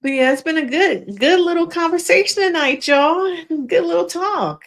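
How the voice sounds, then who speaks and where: A woman's voice: very high-pitched, moderate pace. A woman hosting a casual live stream, chatting frankly with viewers, laughing often, and losing her voice.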